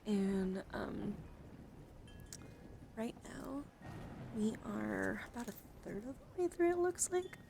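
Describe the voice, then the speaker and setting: A woman hosting an ASMR livestream, chatting softly and often slipping into a whisper with careful, deliberate enunciation. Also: fairly high pitch, sing-song, vocal fry. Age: adult, over 18. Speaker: streamer host. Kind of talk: soft ASMR livestream chat. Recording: noticeable background noise.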